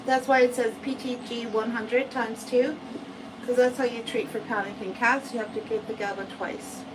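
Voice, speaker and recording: An American woman who grooms cats, chatting slowly through a bath demonstration and often asking "right?". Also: on the high side, steady tone, far from the mic, background noise. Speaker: cat groomer. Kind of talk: casual livestream grooming demo.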